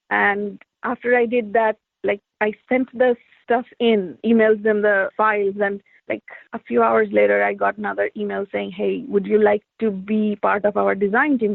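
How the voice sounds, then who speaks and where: A woman's voice: fairly high pitch, dry recording. A woman from India, an artist and teacher, telling stories from her life as an interview guest, with many "like" fillers. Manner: reflective, storytelling, frequent "like" fillers. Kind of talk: conversational interview answers. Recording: tinny phone-like line, dry.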